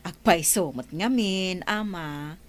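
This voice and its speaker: An actress playing a caring young granddaughter in a radio drama, with a gentle, solicitous manner. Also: female voice, medium pitch, exaggerated voice. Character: sweet, caring young granddaughter fussing over an elder. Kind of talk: Ilocano radio drama acting.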